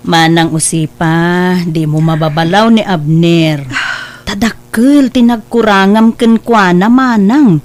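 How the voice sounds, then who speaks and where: A woman playing a warm, counselling younger sister and mother in an audio drama, coaxing and expressive, with an Ilocano accent. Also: lowish voice, slow. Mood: earnest, advising.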